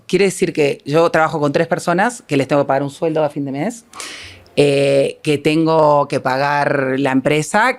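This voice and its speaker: A woman with a Uruguayan accent who works as a film producer, answering as a panel guest in a halting, self-deprecating way as she thinks aloud. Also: sits low.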